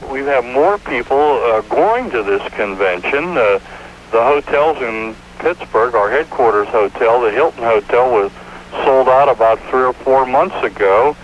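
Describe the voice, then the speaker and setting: Male interviewee, American accent, hesitant delivery, average pitch, dull sound, light hiss. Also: expressive. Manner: halting, hesitant, searching for words. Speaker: interviewee, veterans' organization official. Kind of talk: interview answer. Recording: dull hissy AM radio interview clip.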